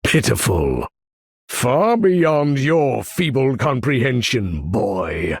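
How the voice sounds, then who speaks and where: A proud older mutant commander in a video game, slow and deliberate, with a husky rasp. He speaks with formal, theatrical grandeur and lofty disdain, swinging widely in pitch. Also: big pitch swings, dry recording, studio quality, full character voice. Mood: cold disdain. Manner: formal, theatrical, measured, condescending delivery. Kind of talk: video game character voice lines.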